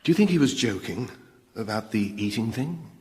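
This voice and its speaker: A man's voice: medium pitch, animated, normal speed, close to an everyday voice. Radio comedy: man playing obsequious lovesick hell minion, earnest worship, hall reverb, noisy sound. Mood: wistful, devoted. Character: fawning, lovesick demon minion devoted to Satan. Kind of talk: radio comedy drama acting.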